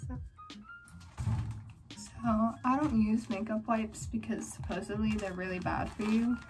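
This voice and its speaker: A woman's voice: mid-range voice, steady tone, a little slow, darkish tone, poor audio. Teen girl rambling to her phone in her room, lots of likes, faint music behind. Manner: chatty, rambling, lots of "like" fillers. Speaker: vlogger. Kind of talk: casual day-in-the-life vlog. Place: small bedroom and home, indoors. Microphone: phone camera held close.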